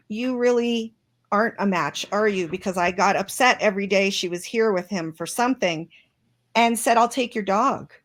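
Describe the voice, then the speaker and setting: A woman's voice: medium pitch, fairly bright tone. A woman guest in an interview, speaking earnestly and reflectively with many restarts. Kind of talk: interview conversation.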